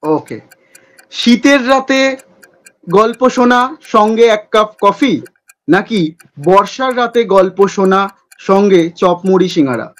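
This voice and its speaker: A man hosting a playful quick-fire question segment in a chatty interview style. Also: high voice, expressive.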